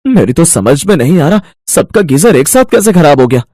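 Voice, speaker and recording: Higher-pitched exasperated cartoon man, acted by a male performer. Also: sing-song, moderate pace, warm tone, no echo, clean studio sound. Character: men of a cartoon family and their doctor. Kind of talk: cartoon dialogue acting.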